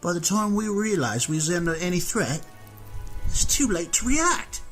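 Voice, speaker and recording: A man's voice: sing-song, moderate pace, a bit bright, faint music behind. A man voicing an edgy, weary male city gate guard who talks in a nervous, strained way. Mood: shaken. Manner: nervous, strained, rising pitch. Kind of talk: audio drama reading.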